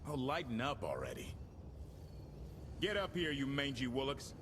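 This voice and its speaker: Cocky pilot, breezy, lively voice, noisy game audio. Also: male voice, medium pitch, normal speed. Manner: breezy, joking. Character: cocky male smuggler pilot, easygoing.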